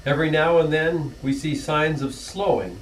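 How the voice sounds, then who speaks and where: A middle-aged man reading his own rhyming anniversary poem in a church hall, earnest, with small stumbles and soft laughs. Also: on the high side, moderate pace, far from the mic, a little hiss or hum.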